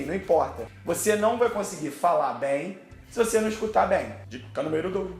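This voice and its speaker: A man's voice: a little high, roomy sound. A Brazilian man with a Brazilian Portuguese accent, teaching English pronunciation in a video lesson, posing questions and then answering them.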